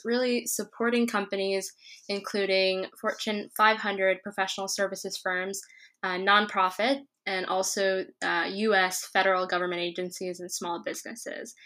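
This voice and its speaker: A woman's voice: medium pitch, a bit bright. A woman hosting a podcast interview, opening with an upbeat scripted introduction before chatting with her guest. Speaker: podcast host.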